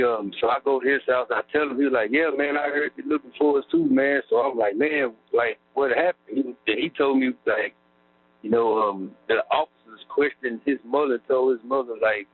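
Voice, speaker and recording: A middle-aged man telling his story on a phone call from prison, rambling with lots of fillers. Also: mid-range voice. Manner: rambling, lots of fillers, laughs wryly at times. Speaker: incarcerated interviewee. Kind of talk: casual storytelling about his past. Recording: tinny prison phone line with hum.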